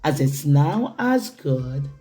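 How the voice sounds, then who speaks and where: A woman reading a children's picture book aloud in an expressive, theatrical way, with deliberate phrasing for young listeners. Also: low voice, faint music behind. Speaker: children's storytime reader.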